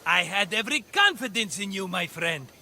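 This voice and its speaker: An actor playing a cheerful, cowardly schemer, talking in a theatrical, wheedling, grandiose way in a video game. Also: male voice, sits high, big pitch swings, brisk, bright, forceful tone, slight background noise, exaggerated voice. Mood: jovial. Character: scheming, cheerful, cowardly small-time hustler, grown man. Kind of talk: video game cutscene acting.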